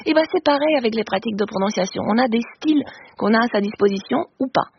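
Woman expert guest, animated and self-correcting, slightly muffled radio sound. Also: on the high side, fast, vocal fry, slight background noise. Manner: lively, explains with many self-corrections. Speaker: linguist guest. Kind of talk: explanatory radio interview.

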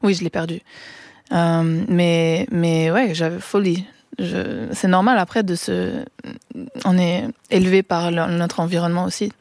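A woman's voice: on the low side, flat delivery, unhurried, darkish tone, dry recording. A young woman guest on a radio show in a studio, talking casually with many restarts and English words mixed into her French. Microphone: studio microphone.

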